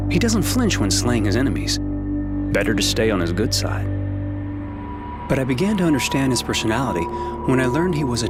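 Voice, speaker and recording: A man's voice: mid-range voice, close to an everyday voice. An actor playing an anxious, eager young man on the run in a video game. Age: young adult. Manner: excitable, questioning. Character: eager, anxious young male escapee, loyal kid. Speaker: narrator and companion character. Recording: loud game music underneath. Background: loud music.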